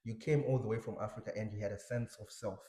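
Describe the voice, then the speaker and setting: A man with a Zimbabwean accent in a reflective, casual conversation, halting often with fillers and restarts. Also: fairly low pitch, fairly even intonation, warm tone, roomy sound.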